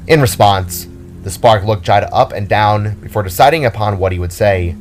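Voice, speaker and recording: A man's voice: on the low side, moderate pace, faint music behind. A man reading scripted narration for an audio drama, as the story's narrator.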